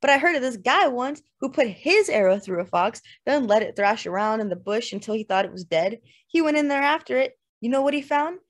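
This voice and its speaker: A woman voicing a loud, drunk, volatile man in dramatized audiobook dialogue. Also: a little high, expressive, brisk, few pauses, voice pushed up, lightly acted. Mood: boastful.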